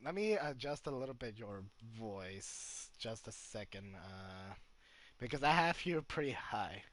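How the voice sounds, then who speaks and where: A man chatting casually as a game streamer, with a halting, hesitant way of talking. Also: lowish voice, very animated, a bit bright, no room sound. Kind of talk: casual livestream chat. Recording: faint music behind the voice.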